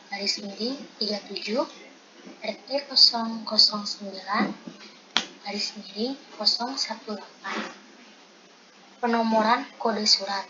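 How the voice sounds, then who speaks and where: A young woman reading out presentation material item by item as a student presenter. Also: medium pitch. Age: young adult. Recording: dull lo-fi audio with loud noise.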